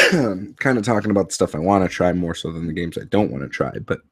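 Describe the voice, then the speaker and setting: A man with a hoarse voice from being sick, talking casually to camera about tabletop games, rambling with many ums and uhs. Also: sits low, lively intonation, brisk, fairly bright tone, no room sound. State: hoarse from illness. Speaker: tabletop RPG YouTuber.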